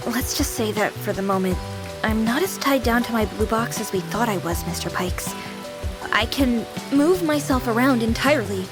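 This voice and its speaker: A woman's voice: on the high side, lively intonation, normal speed, music in the background, lightly acted. A woman playing a young female AI companion with an anime-girl persona in a visual novel game, devoted and formal but playful. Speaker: game character.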